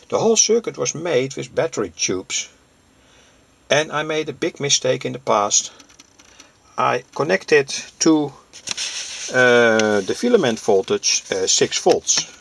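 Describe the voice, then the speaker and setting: A man from Europe giving an informal hands-on demonstration as a hobbyist presenter, speaking haltingly and often pausing mid-sentence. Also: medium pitch, vocal fry, a bit bright, slight background noise. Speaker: presenter, vintage radio hobbyist.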